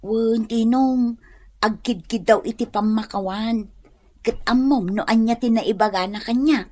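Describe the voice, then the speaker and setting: An actress with an Ilocano accent playing a kind, patient young wife who consoles others in a radio drama. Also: female voice, on the high side, measured pace, a little mellow. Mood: consoling. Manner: consoling, reassuring.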